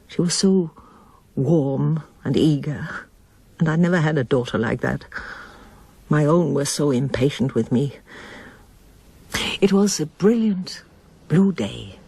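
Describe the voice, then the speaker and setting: An older woman in a radio drama, haunted by a lost past. Her voice is husky and low, with expressive rises and falls, and she speaks reflectively and with hesitation. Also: full character voice. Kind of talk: radio drama acting and first-person narration.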